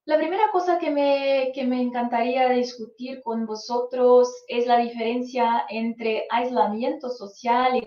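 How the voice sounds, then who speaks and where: A woman's voice: on the high side, darkish tone. A Brazilian woman whose first language is Portuguese, giving a live online lecture as a psychologist, hesitating often with "eh". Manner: hesitant, frequent "eh" fillers. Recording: roomy, dull lo-fi stream audio.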